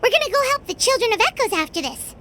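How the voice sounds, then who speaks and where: Squeaky, very high childlike fairy companion. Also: female voice, big pitch swings, quick pace, heavy background noise. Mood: upbeat, eager. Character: tiny cheerful childlike floating fairy companion, eager and squeaky. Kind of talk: video game voice acting.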